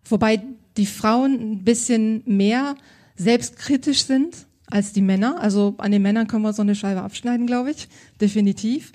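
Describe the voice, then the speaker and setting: A woman's voice: a little high, moderate pace, a little mellow. A woman working as a style consultant, answering interview questions in an enthusiastic, colloquial way.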